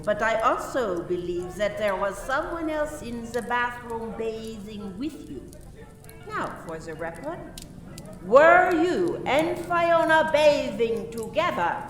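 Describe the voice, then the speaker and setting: A woman playing a stern, accusing French police inspector in a radio play, questioning suspects formally and deliberately. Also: on the high side, sing-song, far from the mic. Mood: stern, probing. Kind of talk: community radio play acting. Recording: roomy, off-mic, music behind.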